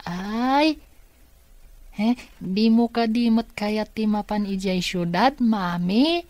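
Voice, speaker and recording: A woman's voice: medium pitch, slow, a little mellow, no echo, natural voice. A woman with an Ilocano accent playing an innocent, questioning young child in a radio drama. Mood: curious, pleading.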